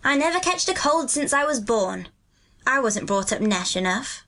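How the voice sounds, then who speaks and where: A woman's voice: on the high side, very animated, moderate pace, fairly bright tone, voice pushed up. A woman voicing a cheerful, earthy twelve-year-old country boy in a storybook, with a hearty, good-natured Yorkshire dialect. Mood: proud, hearty.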